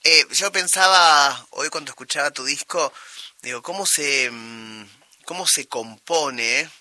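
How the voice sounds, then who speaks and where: A man's voice: a little high, a little slow, sharp tone, no echo. A man with an Argentine accent, interviewing a guest in a curious, easygoing way. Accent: Argentine Spanish. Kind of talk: conversational interview.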